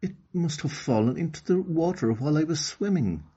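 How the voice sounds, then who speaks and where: A man's voice: a little high, lively intonation, brisk, mellow, husky, pitched above the performer's own voice. An Irish man voicing a polite, earnest little village girl for a storybook, in a sing-song manner. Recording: slightly dull lo-fi recording.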